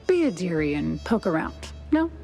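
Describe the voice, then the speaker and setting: A woman's voice: lowish voice, sing-song, moderate pace, darkish tone, soft background music. Coy, sly noir newswoman. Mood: coaxing. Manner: arch, coy, teasing. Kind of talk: 1930s noir cartoon game acting.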